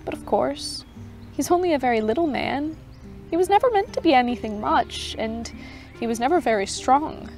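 A woman voicing a gentle but authoritative unseen advocate who speaks kindly and with measured calm. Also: high-pitched, faint music behind, voice pushed up. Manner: kind, measured, pleading.

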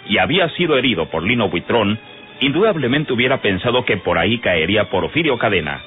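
Casting: male Mexican narrator, lowish voice, dramatic old-time radio storytelling, muffled sound over prominent music.

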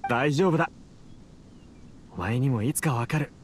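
A man's voice: mid-range voice, normal speed, noisy background, close to an everyday voice. An actor playing a driven but weary researcher in an anime game, speaking earnestly and tenderly to his machine. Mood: fond, gentle. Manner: earnest, talking tenderly as if to a companion.

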